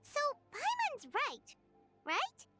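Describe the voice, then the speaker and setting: A woman voicing a tiny, childlike fairy companion in a video game, squeaky, bubbly and whiny, talking about herself in the third person. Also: huge pitch swings, very slow, music in the background, full character voice. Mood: curious.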